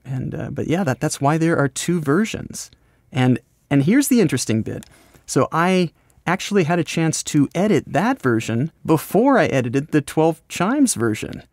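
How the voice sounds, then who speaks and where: An American man being interviewed, explaining his creative work in a casual, rambling, halting conversational way. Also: a little high, big pitch swings, a little mellow, no echo. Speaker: podcast host, voice actor and scriptwriter being interviewed.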